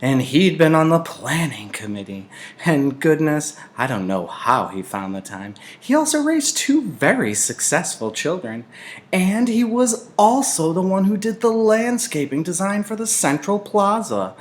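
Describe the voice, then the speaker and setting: A man voicing a frail, gossipy, slightly prim old woman in a storybook, confiding and animated. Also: a little high, a little slow, voice pushed up. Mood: admiring.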